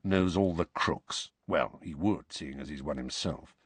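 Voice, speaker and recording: A man reading an audiobook and voicing a guarded, wry grown man who talks low and stays calm. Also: measured pace, breathy edge, no echo, full character voice. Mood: muttering. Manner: low, muttering, cool and calm. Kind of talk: audiobook character voice.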